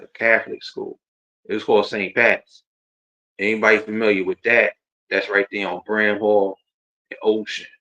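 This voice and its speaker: A man's voice: fairly low pitch, a bit bright. A man of about forty with a New Jersey accent, chatting casually and informally as he hosts a livestream, rambling and repeating himself. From Jersey City, New Jersey. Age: around 40. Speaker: host. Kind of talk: casual autobiographical livestream chat. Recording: dull, lo-fi stream audio.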